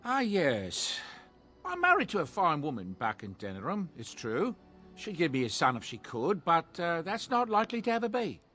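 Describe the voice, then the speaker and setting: Genial dwarf merchant. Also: male voice, a little high, wildly swooping pitch, moderate pace, pushed and bright, faint music behind, full character voice. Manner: friendly, folksy, musing. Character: kindly middle-aged dwarf merchant, folksy and genial. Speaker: game character.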